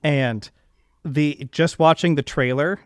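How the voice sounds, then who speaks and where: A man's voice: on the high side, takes it slowly, clean tone, dry recording, studio quality. A man hosting a podcast interview, speaking casually and with enthusiasm.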